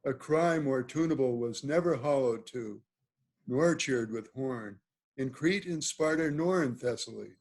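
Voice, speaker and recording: A man's voice: mid-range voice, measured pace, darkish tone. A man playing a noble, commanding duke in a Shakespeare table read, speaking formal, declamatory verse. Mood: stately. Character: noble duke, commanding and at ease, ordering his hunt. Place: video call.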